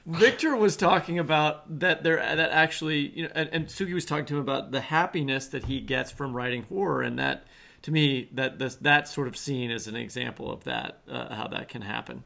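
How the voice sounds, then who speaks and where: A man's voice: medium pitch, brisk, sharp tone. A man chatting casually and informally as a podcast co-host. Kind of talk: casual appreciative chat. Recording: clearly noisy background.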